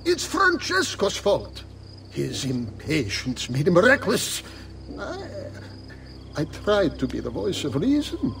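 A man's voice: high-pitched, huge pitch swings, slow pace, gravelly, fairly bright tone, far from the mic, slight background noise, heavily acted. An actor playing a frightened, excuse-making older nobleman in a video game, defensive and pleading. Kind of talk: dramatic video game acting.

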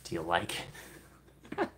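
A man's voice: slow, fairly bright tone, a little hiss or hum. A young man in his twenties hosting a casual livestream from a small room, chatting and answering viewer comments. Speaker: livestream host.

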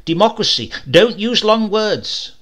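A man's voice: high-pitched, animated, unhurried, pitched above the performer's own voice. A man doing a brash, pushy ad-man. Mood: emphatic. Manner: loud, emphatic sloganeering. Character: brash male advertising executive, pushy salesman.

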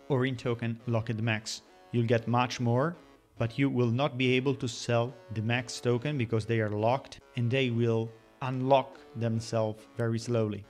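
A man narrates a screen-recorded tutorial in a measured, explanatory way. His voice sits at an ordinary middle pitch. Soft guitar music plays faintly underneath. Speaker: crypto channel host. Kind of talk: scripted voice-over explainer with screen walkthrough. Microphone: voice-over over screen recording.

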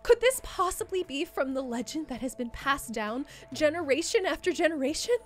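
A woman's voice: sits high, animated, moderate pace, soft background music. A woman voicing a formal, earnest, enthusiastic foreign princess girl in a visual novel game. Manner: formal, precise, exclamatory speech. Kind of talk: dramatic read of visual novel dialogue.

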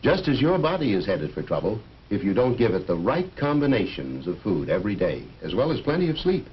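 A man's voice: lowish voice, huge pitch swings, a little fast. A man playing an earnest, fatherly coach and biology teacher who lectures in 1950s educational film style. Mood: earnest advising. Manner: didactic, earnest, explanatory. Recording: muffled, noisy old educational film soundtrack.

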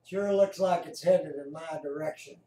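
A man with an American accent giving casual, halting live commentary as he watches and narrates a screen. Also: on the high side, dark tone. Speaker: amateur storm-watcher narrating a screen recording. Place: indoors at home by a computer. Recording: roomy echo.

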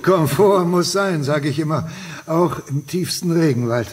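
An actor playing a genial, smug island researcher in a radio play, with a breezy, self-assured manner and a husky voice. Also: male voice, a little high, unhurried, crowd noise, lightly acted. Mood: jovial. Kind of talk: German radio play acting.

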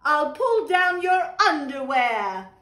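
A woman reading aloud, voicing an excitable young boy frog who exclaims loudly and stretches his words playfully. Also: high-pitched, roomy sound, pitched above the performer's own voice, full character voice. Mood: excited. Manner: loud, drawn-out, playful exclaiming.

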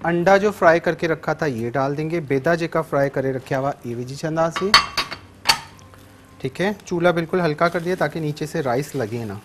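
A man's voice: a little high, normal speed, very noisy. A man in his thirties with a Sindhi accent, hosting a TV cooking show from a studio kitchen and explaining each step as he cooks. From Sindh, Pakistan. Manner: instructive, repeats steps in two languages. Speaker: TV cooking show chef and host. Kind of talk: cooking demonstration, taking phone calls.

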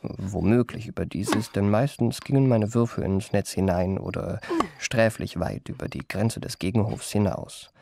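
Urbane, gravelly-edged gentleman impostor. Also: lowish voice, unhurried. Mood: wry, self-satisfied. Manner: ornate, pompous, self-admiring. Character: urbane, self-satisfied gentleman impostor recounting his memoirs. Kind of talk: radio play first-person narration.

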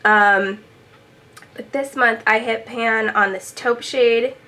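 A woman's voice: a little high, fairly even intonation, slow pace, sharp tone. A young woman talking to camera in a small room at home, chatty and upbeat with plenty of ums, sounding a bit congested from a cold. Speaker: beauty vlogger. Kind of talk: casual product update to camera.

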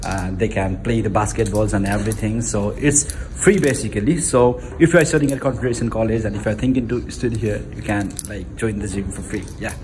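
A man's voice: on the low side, steady tone, fast, few pauses, a little mellow. Young man, Nepali accent, vlogging on a handheld phone, chatty with lots of fillers, noisy background. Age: 20s. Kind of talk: casual vlog chat. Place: college gym and campus halls, indoors. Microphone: phone camera at arm's length.

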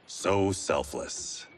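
A man's voice: sits low, a little hiss or hum. An actor playing a dry, sarcastic male swordsman in a video game cutscene.

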